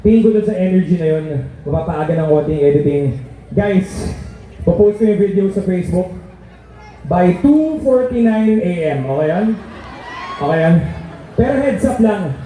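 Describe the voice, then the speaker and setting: A Filipino man talks to a live concert crowd in a high-pitched voice with a very dark tone. He is the band's frontman, casual and playful as he works the audience between songs. His words boom through the PA with heavy echo. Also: animated, normal speed, pauses often, slight background noise. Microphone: stage microphone through PA.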